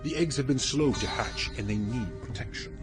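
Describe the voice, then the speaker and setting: A man's voice: medium pitch, lively intonation, moderate pace, lightly acted. A man voicing a cruel, commanding fantasy villain in a radio drama, imperious and menacing. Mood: stern, commanding. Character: cruel, commanding villain leader berating minions. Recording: loud dramatic music behind voice.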